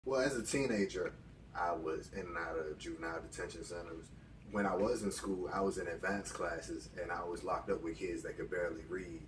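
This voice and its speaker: Deep-voiced young American man, echoey room. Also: steady tone, a little fast. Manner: candid, colloquial. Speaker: interview guest. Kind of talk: casual personal storytelling. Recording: echoey room, distant mic, background noise.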